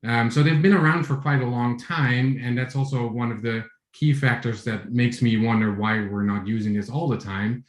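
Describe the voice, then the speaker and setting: A man in his forties from the Netherlands with a Dutch accent, giving a relaxed tech talk over a video call, with many fillers and restarts. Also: mid-range voice, moderate pace, hardly any pauses, echoey room. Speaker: conference speaker. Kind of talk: online tech talk with screen share.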